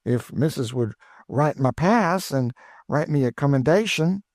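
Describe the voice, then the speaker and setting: A man's voice: mid-range voice, expressive, a little slow, a little mellow, no room sound, studio quality, voice pushed up. A man voicing an eager, chatty older woman cook with an in a storybook reading. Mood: delighted. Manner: exclaiming, folksy, eager.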